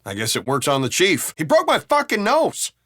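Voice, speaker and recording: A man's voice: on the high side, huge pitch swings, normal speed, a bit bright, dry recording, studio quality, full character voice. A man playing a brash, griping American city cop in video game banter, talking in a colloquial, wry and profane way. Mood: indignant. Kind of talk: casual in-game banter dialogue.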